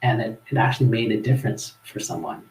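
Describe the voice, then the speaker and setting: A man's voice: medium pitch, fast. Canadian man in an echoey room. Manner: relaxed, chuckling, with frequent fillers and restarts. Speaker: guest. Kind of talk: casual storytelling in a live-streamed interview.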